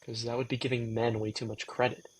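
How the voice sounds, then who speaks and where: A man's voice: medium pitch, level tone, quick pace, slightly rough, dry recording, lightly acted, poor audio. A man playing a weary, sardonic, reluctant young vampire in an intimate audio drama, guarded and dry. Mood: teasing.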